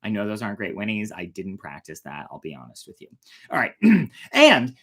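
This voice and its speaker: Animated fairytale storyteller with swooping intonation. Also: sits low, a little fast, slight background noise. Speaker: storyteller, host.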